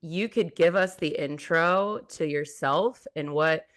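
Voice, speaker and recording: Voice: medium pitch, takes it slowly, fairly bright tone. Earnest rambling podcast host. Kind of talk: casual interview chat.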